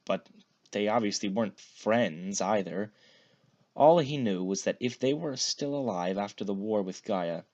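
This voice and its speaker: A man reading fan fiction aloud as an expressive narrated story. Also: lowish voice, highs cut off. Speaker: fan fiction podfic reader.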